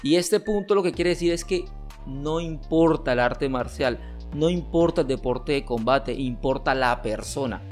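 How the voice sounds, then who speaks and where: A young man in his twenties, an olympic wrestler hosting his channel, reacting to viewer comments with emphatic, gesturing argument from a home setup on a boom mic. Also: unhurried, darkish tone, soft background music. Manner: emphatic, gestures a lot while arguing. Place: home streaming room with gaming chair. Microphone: studio mic on boom arm, headset.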